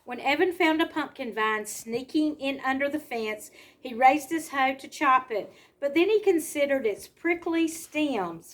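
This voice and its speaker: A woman reads a storybook aloud to children, pausing to explain simply and ask them questions. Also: sits high. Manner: simple explanatory asides and questions to young listeners.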